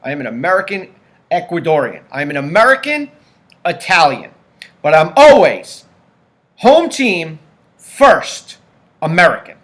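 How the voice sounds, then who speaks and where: A man's voice: on the high side, sing-song, measured pace, long pauses, a bit bright. A man hosting a political commentary show, talking emphatically and stacking up points in lists.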